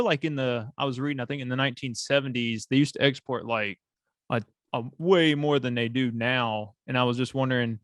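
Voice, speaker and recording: A man's voice: mid-range voice, a bit bright, no room sound, clean studio sound. A man hosting a coffee podcast, asking curious questions in a laid-back, slangy way. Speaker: podcast host. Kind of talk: casual interview.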